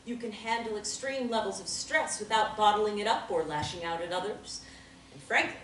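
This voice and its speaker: A woman reading the part of a calm, knowing group therapist in a table read, recorded roomy and from a distance. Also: medium pitch, moderate pace, a little hiss or hum, natural voice. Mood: cool.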